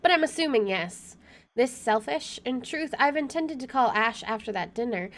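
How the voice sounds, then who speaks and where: A young woman streaming a game, chatting casually and reading story text aloud in an expressive way. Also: on the high side, normal speed.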